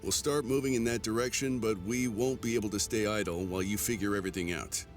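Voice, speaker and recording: An actor playing a measured, decisive team leader in a video game, terse and businesslike. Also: male voice, fairly low pitch, steady tone, soft background music, close to an everyday voice. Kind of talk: dramatic video game acting.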